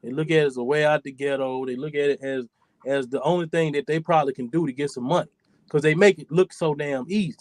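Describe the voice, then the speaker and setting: A man's voice: on the high side, steady tone, fast talker, no echo. A man in his forties hosting a podcast, talking in a loose, profane, rambling way. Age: 40s. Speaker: podcast host. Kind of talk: casual chat.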